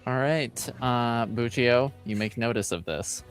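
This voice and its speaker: A man running a tabletop game as game master, speaking casually and haltingly as he thinks aloud. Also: medium pitch, over music.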